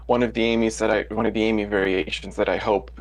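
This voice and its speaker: Eager, rambling man with a low voice. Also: flat delivery, fast. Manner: eager, rambling, restarts words. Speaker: fan asking a question. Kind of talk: live stream Q&A.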